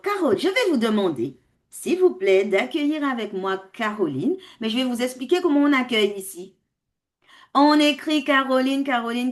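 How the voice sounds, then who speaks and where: A woman hosting an online information meeting for parents on a video call, speaking in an animated way, laughing often and changing pace. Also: medium pitch. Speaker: host of a parents' club.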